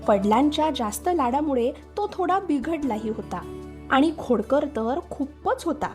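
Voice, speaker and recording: A woman's voice: high-pitched, expressive, unhurried, mellow, soft background music. A woman hosting and narrating a scripted children's story. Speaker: storyteller, channel host.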